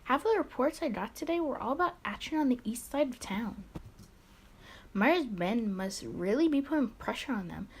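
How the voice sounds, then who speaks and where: A woman's voice: a little high, expressive, normal speed, a little mellow, husky. A woman hosting a let's play, reading a visual novel aloud expressively with casual muttered asides and small stumbles.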